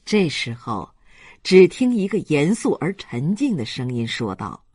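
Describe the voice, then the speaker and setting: Expressive husky male audiobook narrator. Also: on the high side, unhurried, dry recording, clean studio sound. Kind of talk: dramatic literary narration of a novel.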